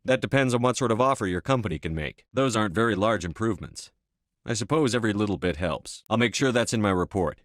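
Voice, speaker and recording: Man playing a deep-voiced, terse military space commander. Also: lively intonation, fast, dry recording, clean studio sound, lightly acted. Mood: formal, businesslike. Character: stern male military space commander, terse, authoritative, dryly defiant. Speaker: video game protagonist. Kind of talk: video game voice acting.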